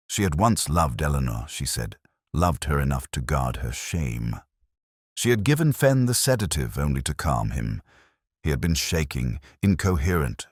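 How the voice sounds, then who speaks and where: A man narrating a first-person detective story in a solemn, measured, literary manner. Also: a bass voice, slightly rough, no echo, studio quality.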